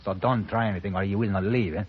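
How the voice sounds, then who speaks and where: A man's voice: on the low side, steady tone, fast talker, slightly rough. An actor playing a sly, loyal outlaw henchman with a Mexican accent in a radio western. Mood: menacing. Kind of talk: 1950s radio western acting. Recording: muffled old radio recording.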